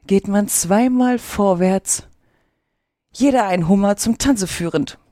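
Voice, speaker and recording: An excitable storybook gryphon, put on by a woman, brusque and emphatic. He exclaims his words over a mellow tone in a dry recording. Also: mid-range voice, takes it slowly, dry recording, natural voice. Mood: interrupting. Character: excitable, brusque male gryphon, interrupting and shouting. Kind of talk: storybook character reading.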